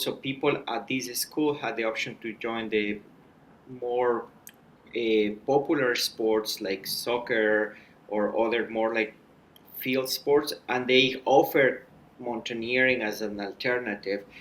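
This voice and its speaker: A man, a mountain guide talking as a podcast guest in a measured, thoughtful way with frequent restarts. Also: mid-range voice, fairly bright tone, rough recording. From Ecuador. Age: 30s. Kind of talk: thoughtful conversational storytelling.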